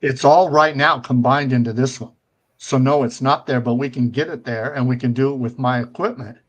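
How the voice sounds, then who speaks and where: A man's voice: medium pitch, fairly even intonation, normal speed. A man in his seventies hosting a casual live-stream talk show, rambling with many fillers and restarts. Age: 70s.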